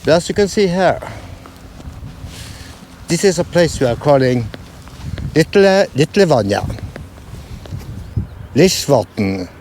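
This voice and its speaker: A man's voice: fairly high pitch, animated, takes it slowly, long pauses, darkish tone, a little hiss or hum. Norwegian-accented man, hesitant vlogger. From Norway. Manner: hesitant, many fillers and restarts. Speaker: photography vlogger. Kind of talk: casual explanatory vlog.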